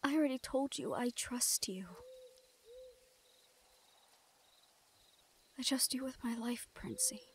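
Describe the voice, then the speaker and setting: A woman playing a guarded but tender young thief in an intimate roleplay audio drama, speaking in a hushed, hesitant way. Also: high-pitched, animated, moderate pace, slight background noise. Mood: sincere, trusting.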